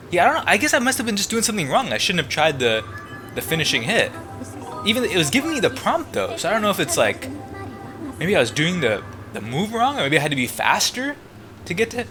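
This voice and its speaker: A man doing casual, sweary commentary while gaming, exclaiming and muttering frustrated asides. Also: on the high side. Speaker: let's play gamer. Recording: loud game music behind voice. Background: loud video game music.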